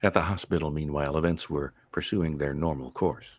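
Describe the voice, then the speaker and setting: A man playing the solemn storyteller of a vintage-style radio mystery, narrating in a measured, portentous way. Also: rumbling low, steady tone, no room sound.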